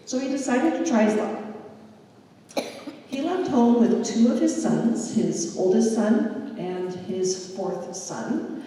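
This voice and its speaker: A woman presents history in a slow, measured storytelling manner. Her voice is mellow and warm in tone. She sounds distant from the mic, with the long echo of a large lecture hall around her. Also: mid-range voice. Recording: echoey hall from far off.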